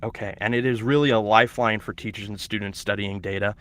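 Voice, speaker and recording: A man's voice: on the low side, steady tone, fast, a bit bright, clean tone. A man hosting an online orientation webinar for teachers, explaining steps and introducing a guest, with some hesitations and restarts. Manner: instructive, hesitant with fillers and restarts. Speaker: webinar host.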